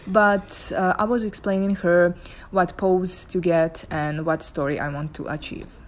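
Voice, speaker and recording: A woman's voice: lowish voice, fairly even intonation, measured pace. A young woman with a Serbian accent, a photographer narrating her shoot in a chatty way and laughing often. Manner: chatty, laughs often, non-native phrasing. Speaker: photographer vlogger.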